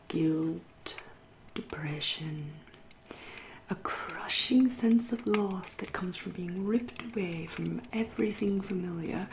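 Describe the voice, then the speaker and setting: A woman with an English accent playing a seductive, wry, refined female vampire in a soft, whispered ASMR roleplay, speaking slowly and formally. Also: fairly low pitch, background noise. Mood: cautioning, arch. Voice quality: soft, breathy, often whispering. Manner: slow, lingering, genteel, archly formal. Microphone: close ASMR microphone.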